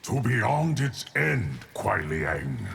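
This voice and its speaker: An actor playing an ageless, solemn guardian of time in a video game, speaking in a deep, gravelly, measured and formal voice. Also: male voice, very animated, heavy background noise, full character voice. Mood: grave, calm. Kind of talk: video game character dialogue.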